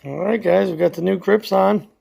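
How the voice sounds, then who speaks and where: Hoarse gravelly-voiced man with a drawl. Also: sits high, normal speed. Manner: relaxed, folksy, with many fillers. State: hoarse from a cold. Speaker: channel host. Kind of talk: casual product review vlog.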